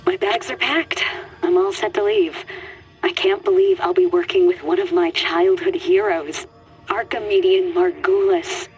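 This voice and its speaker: A woman's voice: mid-range voice, unhurried, dull audio, soft background music, close to an everyday voice. An actress playing an idealistic, wistful woman field biologist recording an audio log in a video game. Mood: excited, awed. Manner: earnest, wistful, expressive.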